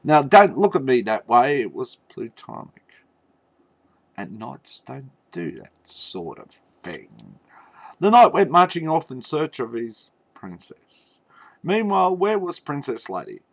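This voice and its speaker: A man telling a mock fairy tale in a theatrical, tongue-in-cheek way, with halting pauses and knowing asides. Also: medium pitch, very animated, no room sound. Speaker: storyteller.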